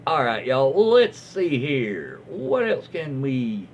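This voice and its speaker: A man's voice: mid-range voice, huge pitch swings, slow pace. A man with a Southern US accent reading stories aloud casually, with folksy, rambling asides and drawling slang. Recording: dull lo-fi audio with faint noise.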